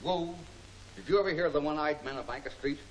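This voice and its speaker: An actor playing a resolute, authoritative crime-fighting leader in a 1940s film serial. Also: male voice, on the high side, lightly acted. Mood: determined. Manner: brisk, authoritative. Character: brisk, resolute crime-fighting hero leading his men. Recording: noisy 1940 film serial soundtrack.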